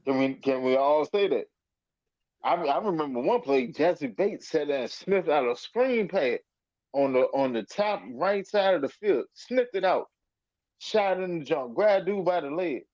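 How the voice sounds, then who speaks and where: A man's voice: a little high, animated, measured pace, a little mellow, no room sound, highs cut off. A man in casual, salty sports fan chat, speaking emphatically and repeating himself to make his point. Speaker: sports talk panelist.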